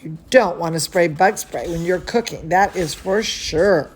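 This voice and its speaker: A woman's voice: lowish voice, unhurried, husky. A woman hosting a children's bedtime story, reading aloud with affectionate, chatty asides and exclamations for young listeners. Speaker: children's bedtime story host and cooking teacher.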